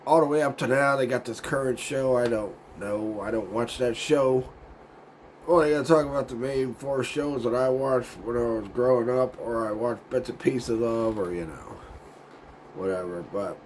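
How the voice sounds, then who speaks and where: A man hosting his own video show, with a voice gone raspy and hoarse from a sinus cold, chatting in a loose, meandering way, losing his words and chuckling now and then. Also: mid-range voice, normal speed, a little hiss or hum. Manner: laid-back and meandering, loses his words, chuckles often. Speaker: YouTube show host. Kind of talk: casual rambling vlog chat.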